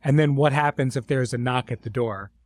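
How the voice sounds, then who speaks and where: A man's voice: mid-range voice, a little fast, no echo. A man talking reflectively as a series creator in a behind-the-scenes podcast, explaining things articulately with vivid imagery.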